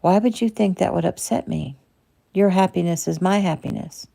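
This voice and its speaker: A woman's voice: lowish voice, moderate pace, gravelly, warm tone. A woman reading a tender, worried man. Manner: tender, pleading. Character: gentle worried father, husband.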